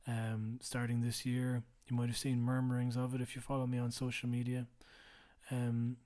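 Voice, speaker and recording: A tired man hosting a podcast late at night, speaking low and quiet in a rambling, self-deprecating way. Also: monotone, moderate pace. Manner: rambling, self-deprecating, drops to a mutter at times. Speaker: podcast host. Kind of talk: casual solo housekeeping chat.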